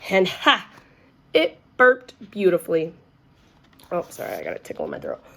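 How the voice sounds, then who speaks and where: A woman's voice: on the low side, wildly swooping pitch, a little slow, vocal fry, fairly bright tone. A woman reading a children's story aloud expressively, as if to young listeners. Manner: addresses young listeners with questions.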